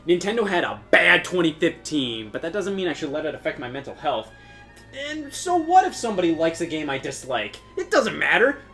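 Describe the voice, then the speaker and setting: A man acts out an exasperated, theatrical video game hater from a comedy sketch. His high, bright voice swings widely and lands emphatically on his points, with faint music behind. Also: big pitch swings, a little fast, gravelly, exaggerated voice. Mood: insistent. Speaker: host.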